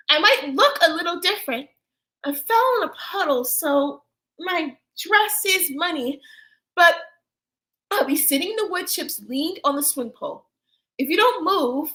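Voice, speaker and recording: A squeaky, lonely child doll. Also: female voice, bright, forceful tone, heavily acted. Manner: high childish voice, bad grammar, wobbly. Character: lonely childlike girl doll missing her owner. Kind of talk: audio drama acting.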